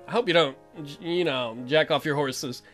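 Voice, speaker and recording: A man's voice: a little high, normal speed, a bit bright, faint music behind. A man giving casual, irreverent and crude joking commentary as a let's play host.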